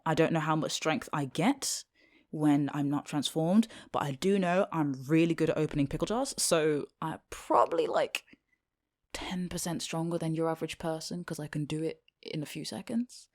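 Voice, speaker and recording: A low-pitched British teenage schoolgirl, played by a woman, secretly a magical girl and thoroughly reluctant about it. She talks in a casual, halting way with slangy, sardonic exasperation. It has the loose feel of an ad-libbed audio roleplay. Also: darkish tone. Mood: grudging, bargaining.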